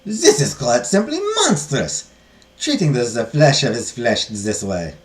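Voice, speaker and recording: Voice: medium pitch, wildly swooping pitch, normal speed, darkish tone, recorded from a distance, pitched above the performer's own voice. A performer voicing a kindly, easily shocked older nun who exclaims and draws out her words in a storybook reading.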